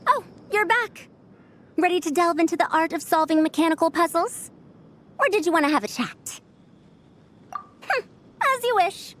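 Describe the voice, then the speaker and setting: Young female mechanics scholar, high voice, animated intonation, articulate, friendly, video game dialogue. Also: moderate pace, pauses often, a little hiss or hum. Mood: welcoming.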